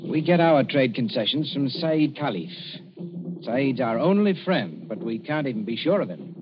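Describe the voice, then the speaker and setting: An actor playing a frank, uneasy young diplomatic attaché in an old-time radio drama, speaking insistently but with deference. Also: male voice, medium pitch, big pitch swings, moderate pace, music in the background, close to an everyday voice. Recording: noisy, muffled old radio recording.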